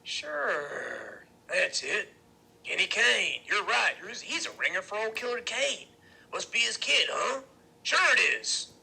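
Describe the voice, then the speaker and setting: A taunting biker boss, voiced by a male narrator, curt and bossy with a gravelly creak and a hard edge. He is menacing and mocking, in storybook narration. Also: a little high, lively intonation, moderate pace, bright, forceful tone, exaggerated voice. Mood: dawning recognition.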